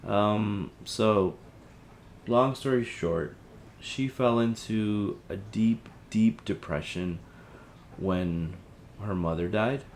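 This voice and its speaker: A man playing an apologetic, evasive helpline listener in an audio drama, speaking in a hesitant, halting, conciliatory way. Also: lowish voice, slight background noise. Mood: weary, guarded. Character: Theo, apologetic, evasive male helpline listener with a troubled marriage. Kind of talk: audio drama, naturalistic phone conversation.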